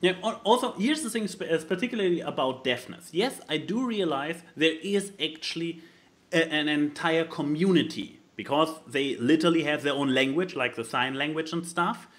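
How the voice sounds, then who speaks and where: A man's voice: a little high, moderate pace, fairly bright tone. A man co-hosting a podcast, chatting casually with dry sarcasm. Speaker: podcast co-host. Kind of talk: casual chat.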